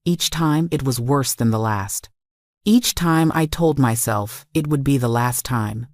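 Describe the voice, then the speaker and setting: A woman with a low voice delivers scripted, dramatic first-person narration. Her manner is confessional, leaning on short emphatic phrases. The recording is dry and close. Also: measured pace, dry recording. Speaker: story narrator.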